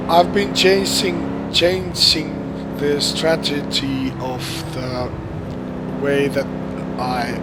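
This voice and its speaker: A hoarse man, recovering from the flu, giving halting gameplay commentary with many pauses and restarts. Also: medium pitch. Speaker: sim racing gamer. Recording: loud steady background noise.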